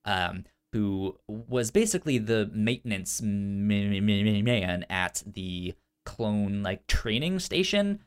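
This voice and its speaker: A man with a stammer chatting casually and enthusiastically as a podcast co-host, often halting on repeated sounds. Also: on the low side, animated, clean tone. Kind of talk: casual unscripted podcast chat about entertainment news.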